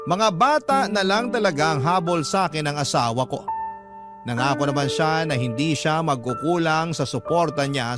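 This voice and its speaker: A man narrating a scripted first-person story as a radio storyteller reading a listener's letter. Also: on the high side. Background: loud music.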